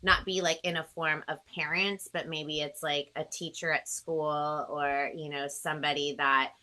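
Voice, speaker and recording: Woman, low-pitched, bright voice, casual chat, gushing, appreciative host. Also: level tone, moderate pace, low-quality recording. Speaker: host and singer-songwriter. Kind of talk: casual video-call chat.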